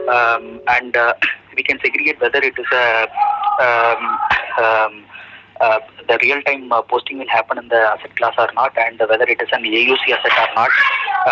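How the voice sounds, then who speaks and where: A man with an Indian accent answering technical questions as a job candidate over the telephone, halting often and searching for words. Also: medium pitch, noisy background. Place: telephone call. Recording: muffled, dull telephone interview recording.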